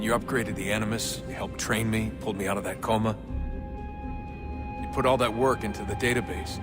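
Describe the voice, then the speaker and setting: Actor as young American man, lowish voice, weary, guarded, plain-spoken, reluctant video game hero, music behind. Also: close to an everyday voice. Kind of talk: naturalistic video game cutscene acting.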